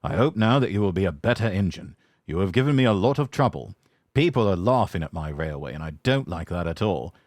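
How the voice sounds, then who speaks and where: A stout railway boss, as a man puts him on, low and measured, stern but kindly. The storybook reading is dry and close to the mic. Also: expressive, darkish tone, dry recording.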